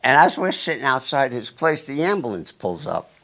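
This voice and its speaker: Man from New Jersey, mid pitch, brisk animated storyteller. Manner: quick, animated anecdote telling. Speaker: guest musician. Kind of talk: casual storytelling.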